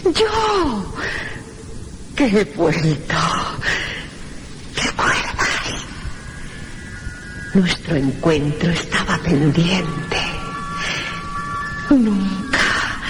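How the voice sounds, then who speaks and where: A woman's voice: on the low side, very animated, heavily acted, low-quality recording. A woman playing a ghostly lover returned, seductive and eerily tender, in a radio horror drama, drawing out her lamenting endearments. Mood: eerie, seductive. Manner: slow, drawn-out, lamenting endearments. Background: music behind the voice.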